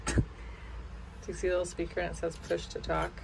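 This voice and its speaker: A woman's voice: lowish voice, completely flat delivery, fairly quick, gravelly, a little mellow, poor audio. An American woman in her thirties chatting informally and musing aloud as she gives a casual home tour on a handheld phone indoors. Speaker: vlogger showing features of their house. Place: indoors, rooms of a house. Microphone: handheld phone at arm's length. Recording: phone audio with some room noise.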